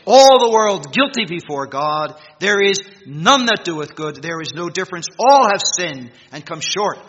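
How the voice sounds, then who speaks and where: An American man preaching a sermon in a church meeting hall, reading scripture and stressing words emphatically, swinging between loud peaks and quiet drops. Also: high-pitched, very animated, unhurried, noisy background. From United States. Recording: echoey hall, dull lo-fi sound.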